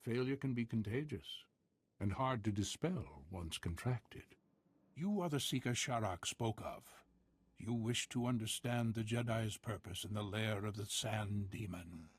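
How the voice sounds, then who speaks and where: An ancient, cryptic desert prophet from a video game. His lowish, raspy voice is slow and solemn, recorded dry and close. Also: dry recording. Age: older. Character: ancient, half-mad male desert soothsayer, cryptic and solemn. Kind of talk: scripted video game acting.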